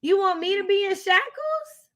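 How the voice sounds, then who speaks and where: A woman's voice: very high voice, lively intonation, normal speed, sharp tone. An American woman chatting casually about books as a co-host, opinionated and emphatic, often repeating phrases to drive a point home. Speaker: co-host of a book readalong live discussion. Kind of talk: casual, opinionated book chat.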